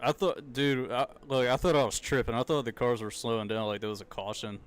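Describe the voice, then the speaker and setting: A man's voice: medium pitch, steady tone, a little fast. A young man with a Southern US accent giving casual, chatty live commentary on a car race, with loose slang. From American South. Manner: chatty, loose, laughs often, says dude and like.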